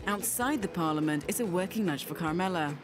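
A woman narrating a scripted documentary feature in a brisk, lively voice-over. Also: lowish voice. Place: voice-over studio. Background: background music.

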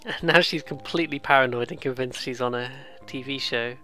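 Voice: medium pitch, fairly quick, sharp tone, faint music behind. A British let's player giving casual, rambling, amused commentary on a visual novel, with some swearing. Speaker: let's play host.

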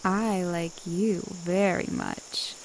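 A woman's voice: on the low side, fairly even intonation, very slow, poor audio. A woman playing a seductive, commanding immortal witch in a retro radio drama, coaxing and self-assured. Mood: coy, eager. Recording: faint background noise and hiss.

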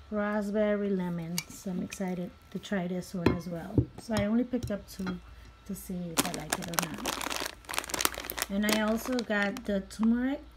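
A mother chatting casually off camera while showing her grocery haul, slow and full of ums. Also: mid-range voice, heavy background noise. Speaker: vlogger, mother. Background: crinkling packaging and clicks.

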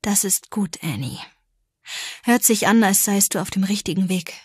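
An easygoing middle-aged policeman, read by a woman in an audiobook. He talks in a warm, tentative way with a little teasing. The voice is slightly husky and very dry, close and free of room sound. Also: mid-range voice, moderate pace, dry recording.

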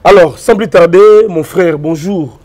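A male Congolese TV journalist hosting an interview, putting quick questions to his guest and greeting viewers. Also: high-pitched, a little mellow.

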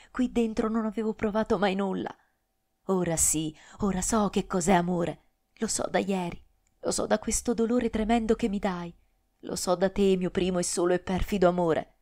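A woman's voice: mid-range voice, darkish tone, lightly acted. A woman voicing a passionate twenty-year-old noblewoman who pleads and protests with strong feeling. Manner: emotional, pleading and vehement.

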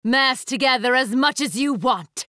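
A woman's voice: high-pitched, measured pace, bright, forceful tone, no echo, studio quality. An actress voicing a proud, ruthless young female warrior for an anime fighting game, with a haughty, commanding, theatrical delivery. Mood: fierce, defiant.

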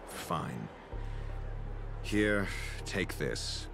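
An actor playing a stern, haughty scholar-doctor in a video game, dry and disdainful. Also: male voice, deep voice, very animated, extremely slow, gravelly, music in the background, exaggerated voice. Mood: grudging, dry.

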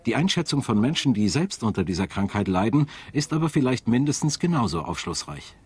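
A man's voice: mid-range voice, very animated, brisk, darkish tone, breathy edge. A man reading scripted narration for a documentary as its narrator. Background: music behind the voice-over.

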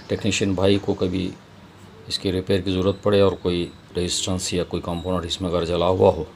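A man presents an informal repair tutorial in a low voice. His delivery is flat and even, moving step by step as he addresses viewers. The home-made recording has some noise behind him.

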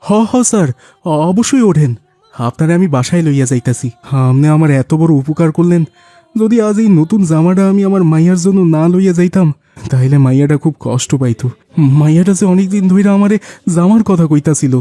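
A man's voice: on the high side, animated, a little fast, dark tone, no echo, close to an everyday voice. A man playing a poor rickshaw-puller father in a cartoon, plaintive and emotional, with a rural Bangladeshi accent. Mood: humble, grateful.

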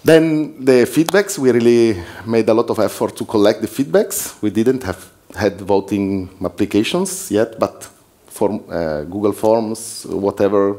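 A man's voice: fairly low pitch, a little slow, few pauses, mellow. A man from southern Switzerland with an Italian accent, presenting at a developer conference as one of its organizers, in English with some slips and restarts. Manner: non-native English with restarts, slips and laughs. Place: conference hall.

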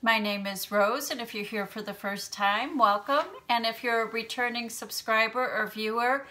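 Woman in her fifties, makeup tutorial, gentle chatty explaining, bright voice, allergy throat-clearing, small home room. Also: a little high, fairly even intonation, normal speed. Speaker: beauty channel host.

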